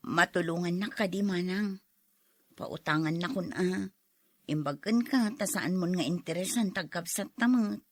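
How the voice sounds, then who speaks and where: An actress playing a struggling younger sister who worries about money and pleads for help, in a drama. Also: female voice, fairly low pitch, measured pace. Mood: worried. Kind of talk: radio drama acting.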